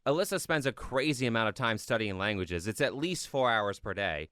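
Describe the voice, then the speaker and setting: A man's voice: fairly low pitch, expressive, a little fast, bright, forceful tone, smooth, dry recording. Man, scripted commentary voice-over, blunt and pointed, dry close sound. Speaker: commentary YouTuber.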